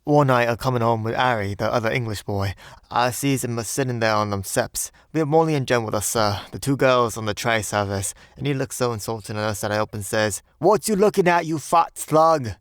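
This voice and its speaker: A man's voice: medium pitch, a little fast, clean tone, no room sound, studio quality, natural voice. A man voicing a young Cockney bellboy in an audiobook, deferential but indignant and uneasy, speaking ungrammatically. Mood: boastful, disgusted. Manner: ungrammatical, servile, peppered with "sir". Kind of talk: audiobook character voice.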